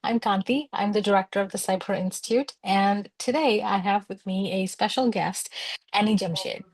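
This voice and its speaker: Host: woman, formal measured intro read, dry close sound. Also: medium pitch, a little mellow, slightly rough, dry recording. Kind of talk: reading a guest introduction.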